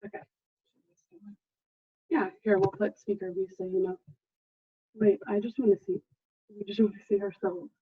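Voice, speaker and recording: Female teacher, raspy mid-range voice, informal off-the-cuff talk, close dry sound through a tinny video call. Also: medium pitch, level tone, moderate pace, pauses often, no room sound. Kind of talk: casual chat while setting up a video call. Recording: narrow, phone-like video call audio.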